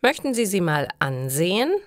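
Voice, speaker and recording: A woman voicing a nice, easygoing man with a garage to rent in a learner's story, phrased clearly. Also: fairly low pitch, very animated, normal speed, dry recording, clean studio sound. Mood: friendly. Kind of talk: acted quotes in a slow learner story.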